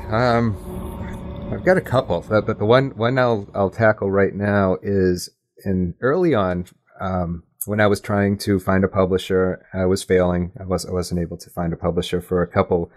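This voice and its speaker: A man's voice: sits low, a little mellow. A middle-aged man chatting casually as a podcast guest. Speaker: podcast guest, audio drama writer. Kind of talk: casual chat.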